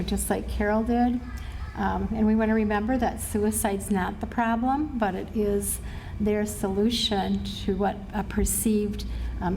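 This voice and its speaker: A middle-aged woman with an American accent giving an earnest, measured training presentation to a group as a presenter. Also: medium pitch, gravelly, mellow, slight background noise, poor audio. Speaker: suicide prevention trainer and presenter. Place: presentation room at a care facility.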